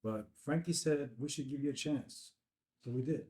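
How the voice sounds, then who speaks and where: Mellow, streetwise loyal cop played by a man. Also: medium pitch, normal speed, lightly acted. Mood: defensive, loyal. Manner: slangy, casual street talk. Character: George, loyal streetwise male cop, laid-back. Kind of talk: screenplay table read acting.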